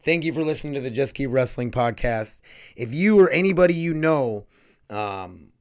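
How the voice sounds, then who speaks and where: A man's voice: medium pitch, animated, normal speed. A man hosting a casual podcast, rambling and swearing easily as he talks. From North Dakota. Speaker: podcast host.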